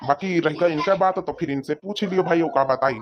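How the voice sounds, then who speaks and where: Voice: mid-range voice, fairly quick, background noise, lightly acted. An actor voicing an exasperated village father of the groom in a comedy cartoon, scolding and rambling, on lo-fi audio. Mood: reassuring. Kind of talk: amateur comedy cartoon dialogue.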